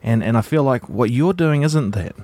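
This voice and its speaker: A man's voice: medium pitch, lively intonation, warm tone, dry recording. Guy hosting a chill podcast, stumbles through his questions, mid voice. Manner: halting, stumbles and restarts questions. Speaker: podcast host. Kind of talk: casual interview.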